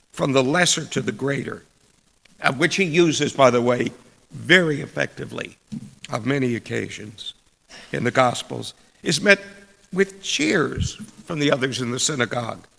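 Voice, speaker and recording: A man delivering a scripted church sermon with deliberate, emphatic phrasing and dramatic rhetorical pauses. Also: medium pitch, creaky, a bit bright, slightly rough. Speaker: preacher reading a sermon. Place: large indoor church space. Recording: echoey hall with long reverb.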